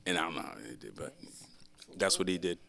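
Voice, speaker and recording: Low, bright-toned older man. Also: fairly quick, vocal fry. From Boston area, Massachusetts. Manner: relaxed, chuckles while telling stories. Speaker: panel guest. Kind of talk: casual storytelling.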